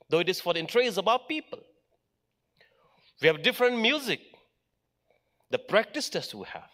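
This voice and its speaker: Man, middle-aged, formal speech, emphatic short phrases, lively rising and falling voice, slightly high. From Meghalaya, India. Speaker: official speaker at an education event.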